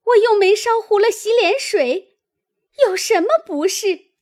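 A woman's voice: very high-pitched, expressive, unhurried, warm tone, pitched above the performer's own voice. A woman voicing a young servant girl who protests plaintively, in a storytelling reading. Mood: tearful indignation. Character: young servant girl, wronged, answering back to elders. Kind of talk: dramatised classic novel storytelling.

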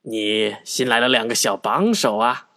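A man's voice: medium pitch, unhurried, sharp tone. A man playing a breezy, obliging wizard in a children's audio drama, casual and confident, with music behind. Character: breezy, obliging male wizard of a storybook street.

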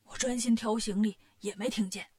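A woman voicing a steady, loyal male disciple in a plain, earnest manner for a story. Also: on the high side, moderate pace, voice pushed down, lightly acted. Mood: matter-of-fact.

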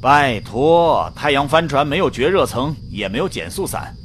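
A man playing a pragmatic astronaut who doubts everything, in a sci-fi radio play. He is matter-of-fact and dismissive, with an expressive mid-pitched voice and faint noise in the background. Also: normal speed. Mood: scoffing. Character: pragmatic, sceptical male Indian astronaut. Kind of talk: radio drama acting.

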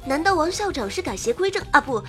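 A woman host reading scripted game news in an expressive presenter's manner, slipping in wry, playful asides. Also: high voice, fast. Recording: music under the voice. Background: background music.

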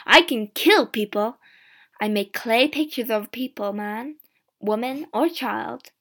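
A woman narrator plays a menacing old woman accused of witchcraft in a storybook tale. She is loud, high and defiant, spitting out her threats in slow, emphatic phrases. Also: exaggerated voice.

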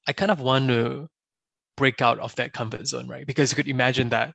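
Young man, gravelly timbre, dry close sound; measured, reflective guest. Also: medium pitch, fairly even intonation, a bit bright, no room sound. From Singapore. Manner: thoughtful, measured, often adds a questioning "right?". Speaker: podcast guest. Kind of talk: casual interview answers.

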